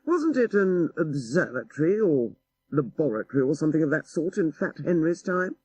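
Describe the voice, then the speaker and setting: A man voicing a worldly, bookish gentleman in an audiobook story, urbane, knowing and deliberate. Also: a little high, very animated, breathy edge, no room sound. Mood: inquiring.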